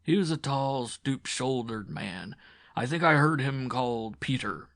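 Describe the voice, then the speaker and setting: A man voicing a big, overgrown young soldier who brings bad news, apologetic and uneasy, speaking slowly and haltingly. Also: medium pitch, lively intonation, a bit bright, no room sound, studio quality, voice pushed up. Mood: sorrowful.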